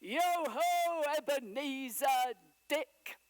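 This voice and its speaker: A woman voicing a jolly stout old merchant man with a rich, booming, jovial voice. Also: expressive, drawn-out words, no room sound, heavily acted.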